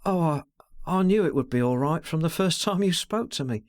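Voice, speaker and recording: Anxious girl under twenty, as a male reader puts her on, high lilting voice, stammering, hurried, dry close sound. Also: expressive, no room sound, natural voice. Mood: ardent. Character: distressed young woman under twenty, pleading.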